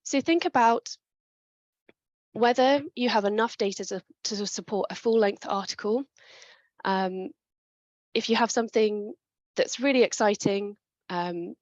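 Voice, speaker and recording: A woman presenting a webinar talk on writing papers, clear and methodical, with a chest infection coming on. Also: medium pitch, no room sound, muffled recording. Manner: clear, methodical, with small restarts.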